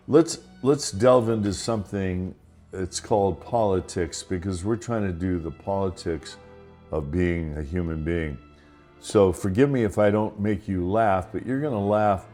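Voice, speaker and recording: Deep-voiced older man rambling. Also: mellow. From Los Angeles, United States. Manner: rambling, with restarts and asides. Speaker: podcast host. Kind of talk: casual monologue.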